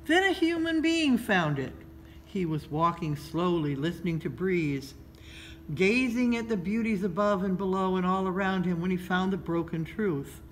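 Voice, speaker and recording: A woman reads a children's story aloud in a measured, expressive storytelling style, pausing between phrases. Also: on the low side, rough recording. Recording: noticeable background noise.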